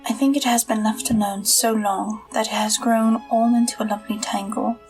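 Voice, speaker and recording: A woman playing a headstrong, sharp-tempered young girl in an audio drama, bossy and emphatic. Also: a little high, steady tone, moderate pace, soft background music, close to an everyday voice. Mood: hushed, soothing. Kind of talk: audio drama acting and first-person storytelling.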